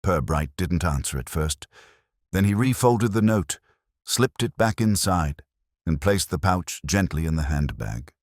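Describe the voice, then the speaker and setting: A man's voice: low-pitched, expressive, unhurried, a little mellow, dry recording, studio quality. A man narrating a scripted mystery story.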